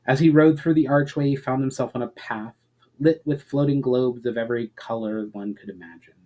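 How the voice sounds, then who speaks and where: A man reading fantasy fiction aloud as a dramatic narrator, deliberate, with emphatic phrase openings that fall away at the ends. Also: mid-range voice, a little mellow. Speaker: author reading own story aloud.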